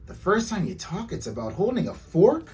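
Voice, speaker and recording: A man voicing an earnest, excitable young boy in a children's storybook. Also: high voice, normal speed, far from the mic, soft background music, pitched above the performer's own voice. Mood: surprised. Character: young boy, earnest, eager to learn manners.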